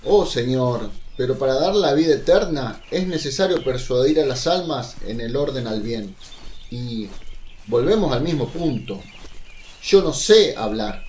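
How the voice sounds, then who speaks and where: A man's voice: medium pitch, animated, unhurried, darkish tone, natural voice. A man acting a humble, emotional old fisherman apostle in a religious radio drama, plain-spoken and unsure of himself. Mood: self-doubting. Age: older. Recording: noisy, birdsong ambience behind.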